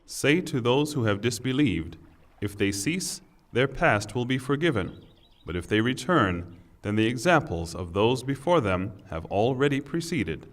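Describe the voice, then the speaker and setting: A man giving a solemn, scripted reading of translated scripture with formal, deliberate phrasing. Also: mid-range voice, big pitch swings. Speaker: translation reader.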